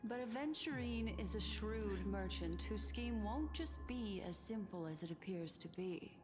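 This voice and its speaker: An actress plays a shrewd, enigmatic woman advisor from a sci-fi fantasy game. She speaks at a middle pitch, measured and articulate, with calm composure. Her voice hangs in a long reverb over clearly audible game music. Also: lightly acted. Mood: calm, knowing. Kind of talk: scripted video game dialogue.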